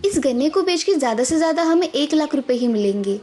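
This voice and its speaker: Woman doing young daughter in law in a kids story cartoon, high mellow voice, polite but firm, earnest. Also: animated, fairly quick, soft background music. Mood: thoughtful. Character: determined, respectful young village daughter-in-law and wife. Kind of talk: Hindi animated moral story dubbing.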